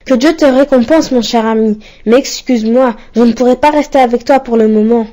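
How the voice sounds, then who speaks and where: A woman plays a kind cartoon boy with a lightly high, warm-toned voice. He sounds devoted and well-mannered, choosing polite, slightly formal words. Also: fairly quick, lightly acted. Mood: courteous, apologetic. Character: devoted, gentle, courteous young son and friend. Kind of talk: didactic cartoon dialogue.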